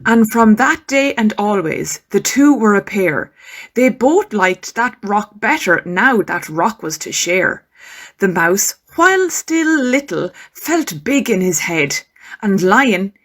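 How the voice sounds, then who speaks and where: A woman reading a rhyming children's story aloud as a storyteller, expressively and with dramatic pauses. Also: medium pitch, sing-song. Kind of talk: scripted children's verse reading.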